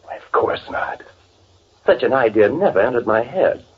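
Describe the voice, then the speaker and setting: An actor playing a timid, fussy, evasive twin brother with a nervous stammer in an old-time radio drama. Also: male voice, mid-range voice, lively intonation, moderate pace, narrow, tinny audio, slight background noise, lightly acted. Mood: evasive, anxious. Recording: band-limited old radio drama recording.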